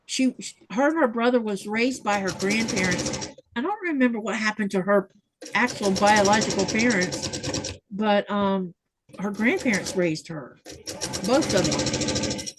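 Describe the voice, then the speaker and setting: A woman's voice: mid-range voice, unhurried, background noise. A middle-aged woman hosting a sewing livestream, chatting casually and telling rambling stories. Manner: chatty, rambling storyteller, reads viewer comments. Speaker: livestream host.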